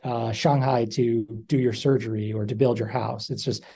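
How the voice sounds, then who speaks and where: A man being interviewed as an author, explaining things in a measured way. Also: medium pitch, steady tone, creaky, mellow, slightly rough. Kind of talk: interview answers.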